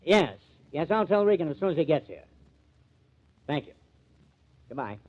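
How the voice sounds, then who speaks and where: A tinny, narrow-band vintage recording of a probing investigator in radio mystery style. His fairly high voice moves up and down animatedly as he presses urgently and emphatically. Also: very animated, normal speed, a little hiss or hum. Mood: surprised, relieved. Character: shrewd, pressing male investigator and confidant. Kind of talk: 1950s radio mystery acting. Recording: thin, band-limited old radio recording.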